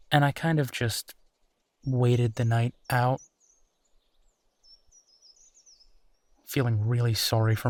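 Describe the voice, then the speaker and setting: A man playing a gentle, embarrassed werewolf boyfriend in an intimate roleplay audio drama, speaking hesitantly and self-deprecatingly. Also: mid-range voice, close to an everyday voice. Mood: sheepish, regretful. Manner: hesitant, self-deprecating, sighing, soft chuckles.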